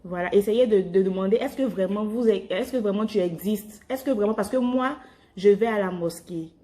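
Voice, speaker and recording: A woman's voice: mid-range voice, normal speed, mellow. A woman evangelising on a phone call, explaining her faith informally and rephrasing as she goes. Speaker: evangelist calling strangers by phone.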